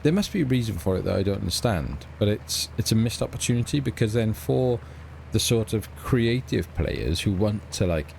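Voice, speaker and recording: Low-voiced Welsh man rambling through gameplay. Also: animated, normal speed, gravelly, a little mellow, slight background noise. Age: middle-aged. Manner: rambling, hesitant, lots of ums and self-corrections. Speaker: let's play host. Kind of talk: casual gameplay commentary.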